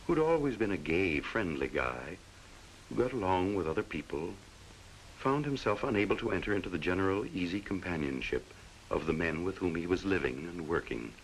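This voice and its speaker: A man's voice: low voice, big pitch swings, a little fast, a little hiss or hum, rough recording. A man narrating a 1940s training film in a folksy, dramatic storytelling way on an old film soundtrack.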